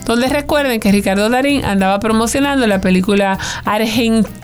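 A woman's voice: fairly high pitch, a little fast, clear, resonant tone. A woman presenting film news in a chatty, conversational way, adding jokey asides. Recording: music bed underneath.

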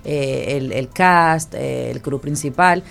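Very low, gravelly woman guest. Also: slow pace. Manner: hesitant, many fillers. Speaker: animation studio guest. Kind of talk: interview answers.

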